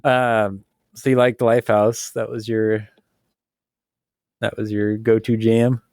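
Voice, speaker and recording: A man with a fairly low voice chats on a podcast in a relaxed, meandering way. He fills his thoughts with ums and likes and laughs easily. The sound is close and dry. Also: slow pace, no room sound. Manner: relaxed, rambling, laughs often, many fillers. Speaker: podcast co-host. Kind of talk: casual podcast conversation.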